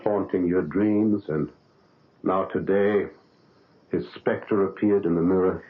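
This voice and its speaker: An actor playing an older male doctor who speaks in a calm, fatherly, reasoning way in a 1970s radio drama. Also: low voice, measured pace. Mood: soothing. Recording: narrow old radio broadcast.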